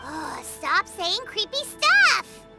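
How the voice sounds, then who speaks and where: A little fairy girl companion in an anime game. The voice is piercingly high and bright, with slow, drawn-out delivery. It is childlike, blunt and easily spooked. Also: wildly swooping pitch, gravelly, faint music behind, heavily acted. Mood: indignant. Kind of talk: anime-style video game voice acting.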